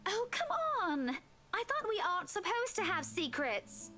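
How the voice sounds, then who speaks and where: A woman's voice: very high voice, big pitch swings, moderate pace, bright, forceful tone, exaggerated voice. A woman voicing an earnest, encouraging young female friend, reading game dialogue aloud over background music. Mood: pleading.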